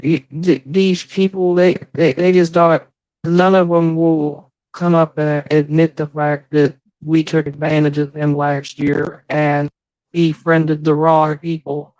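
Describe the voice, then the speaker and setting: A man's voice: fairly high pitch, little pitch movement, slow pace, darkish tone, dry recording, poor audio. A man in a casual livestream chat, hosting and speaking in a profane, aggrieved, defensive way. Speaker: livestream host.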